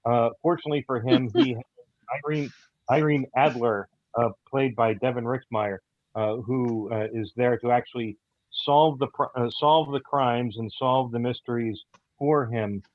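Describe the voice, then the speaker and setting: A man giving casual interview answers as a guest writer, in a halting way full of ums and pauses. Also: mid-range voice, no room sound, narrow, tinny audio. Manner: halting, filler-heavy delivery. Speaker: guest, audio theater writer.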